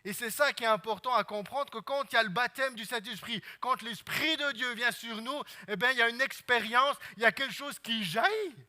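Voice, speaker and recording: A Swiss French pastor preaching in a high, very bright voice. He scatters amens and hallelujahs through his sermon. His delivery swings from hushed asides to loud, driving passages. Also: a little fast, hardly any pauses.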